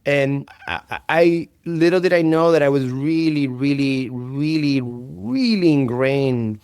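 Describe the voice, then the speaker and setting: Clear-voiced Puerto Rican man, earnest. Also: mid-range voice, a little slow, darkish tone, no room sound, clean studio sound. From Puerto Rico. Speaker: interview guest, performing artist. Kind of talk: reflective conversational interview.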